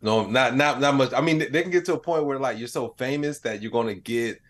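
A man's voice: medium pitch, brisk. A man speaking as an interview guest in casual, reflective conversation, peppering his talk with "like" and "you know". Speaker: podcast guest, comedian.

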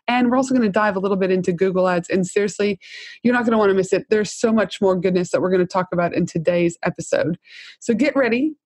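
A woman's voice: medium pitch, fast, a little mellow, no room sound. Gushing podcast host woman, dry sound. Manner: enthusiastic, salesy and gushing. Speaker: podcast host, business coach. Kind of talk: podcast intro and interview.

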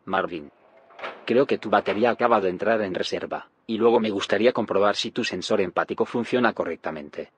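An actor playing a pragmatic male scientist in an audio drama, with a measured, explanatory delivery. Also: on the low side, a little hiss or hum, natural voice. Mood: calm, assured. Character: calm, pragmatic male scientist planning a village's protection.

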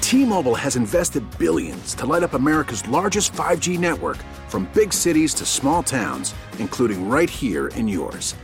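A man's voice: medium pitch, sing-song, moderate pace, hardly any pauses. A man reading a scripted radio commercial as an announcer. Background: faint music.

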